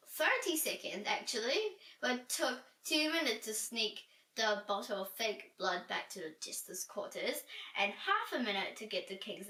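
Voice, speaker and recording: A woman reading a children's storybook aloud in an animated, dramatic and expressive way. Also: on the high side. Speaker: storybook reader. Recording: roomy echo, distant from mic.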